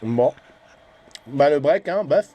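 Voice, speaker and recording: Middle-aged man, mellow and slightly high-voiced. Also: animated, slow pace, faint music behind. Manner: chatty, colloquial, familiar. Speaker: amateur CB radio operator and vlogger. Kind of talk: casual chat over CB radio.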